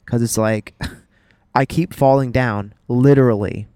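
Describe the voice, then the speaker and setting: A young man chatting on a podcast in a playful, animated way. His pitch is middling, and the sound is dry with no room echo. He stops and restarts with "um" before getting to his point. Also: slow pace, clear, resonant tone. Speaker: podcast co-host. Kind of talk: casual chat.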